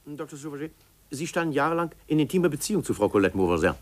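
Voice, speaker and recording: An actor playing a formal, insistent interrogating official who presses leading questions in a 1950s German radio drama. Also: male voice, on the high side, very animated, racing. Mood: probing.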